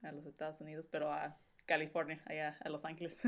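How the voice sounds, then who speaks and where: A young woman with a fairly low voice, chatting as a podcast guest. She wanders through her story with plenty of fillers and restarts. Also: monotone, a little fast. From Mexico. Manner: rambling, many fillers. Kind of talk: casual conversational storytelling.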